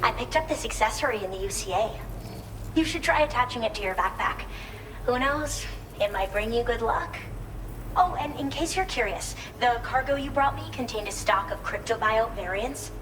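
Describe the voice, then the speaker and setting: A woman's voice: on the high side, lively intonation, moderate pace, noisy background, natural voice. A woman playing a friendly, eager researcher character in a video game, chatty and enthusiastic as she explains things. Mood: grateful, enthusiastic. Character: eager, friendly female researcher living in a shelter. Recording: music behind the voice.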